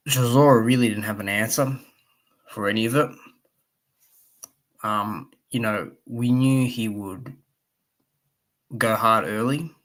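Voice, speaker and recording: Croaky low-voiced man streaming live. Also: a bit bright. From Australia. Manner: rambling, many fillers, reads chat aloud. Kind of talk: casual live stream commentary.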